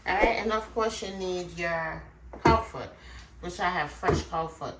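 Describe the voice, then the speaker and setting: A woman's voice: fairly low pitch, a little slow, distant mic, noisy background. A woman chatting casually to viewers as she hosts a cooking demonstration. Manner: chatty, conversational, addresses viewers directly. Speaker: cooking vlog host. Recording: music behind, roomy, off the mic. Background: music.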